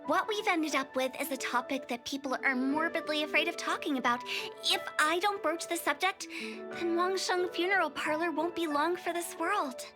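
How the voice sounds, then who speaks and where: Very high-pitched, playful young funeral director. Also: female voice, normal speed, over music. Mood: earnest, explaining. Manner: playful, theatrical, chatty. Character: cheerful, eccentric young female funeral parlor director. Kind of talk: anime game voice acting.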